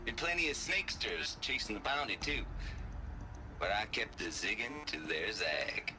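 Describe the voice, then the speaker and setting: An actor playing a cocky, folksy Texan hacker outlaw with a wry drawl in a video game cutscene. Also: male voice, fairly low pitch, very animated, moderate pace, background noise. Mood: wry, relaxed. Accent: American South, Texan.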